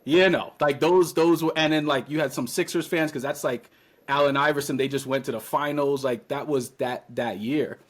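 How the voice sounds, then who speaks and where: A man in his thirties, originally from Trinidad and Tobago, chats casually as a podcast guest. His voice sits a touch high for a man, and he tells animated stories, playing out what he once said. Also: normal speed. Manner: animated storyteller, acts out his own past words.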